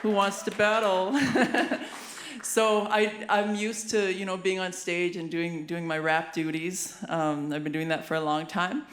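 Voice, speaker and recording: A woman's voice: on the low side, fairly even intonation, measured pace. A woman with a Canadian accent hosting an awards show with playful, giggly, informal stage banter. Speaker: master of ceremonies at an awards show; hip hop artist, rapper.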